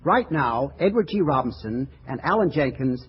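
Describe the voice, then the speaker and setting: A man's voice: mid-range voice, expressive, normal speed. A man hosting a radio show in a 1940s presenting style. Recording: dull, noisy 1940s radio recording.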